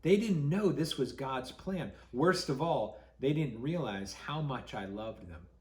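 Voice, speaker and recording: A man reading a story to children as a pastor would, dramatic and expressive, with deliberate pauses. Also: medium pitch, roomy sound.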